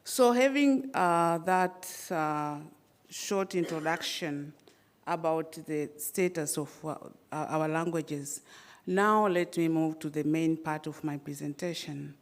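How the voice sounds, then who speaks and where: Slow, low-voiced woman from Tanzania, first language Swahili; academic conference speaker, measured and hesitant, many fillers. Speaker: conference presenter. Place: conference hall.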